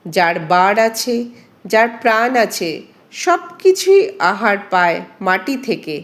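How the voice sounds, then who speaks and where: A woman voicing a clever, calm seven-year-old peasant girl in a folk tale, reassuring and confident. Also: medium pitch, slow pace.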